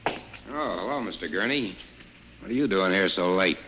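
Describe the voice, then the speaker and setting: A man's voice: deep voice, animated, normal speed, sharp tone. An actor playing a casual, plain-spoken male night security guard in an old-time radio drama. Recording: faintly noisy vintage radio recording.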